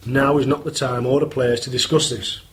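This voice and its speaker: A man playing a firm, measured police inspector in an amateur radio play. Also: medium pitch, level tone, a bit bright, natural voice. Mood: stern. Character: stern male police inspector.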